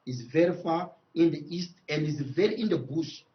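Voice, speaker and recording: Congolese guy being interviewed, kinda high voice, halting but emphatic, dull lo-fi audio. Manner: emphatic, repeats phrases, halting second-language phrasing. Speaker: traditional leader, interview guest. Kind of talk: live news interview.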